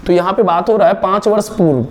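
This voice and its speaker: A young man from India teaching a step-by-step math lesson, explaining slowly and asking and answering his own questions. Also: high voice, fairly even intonation.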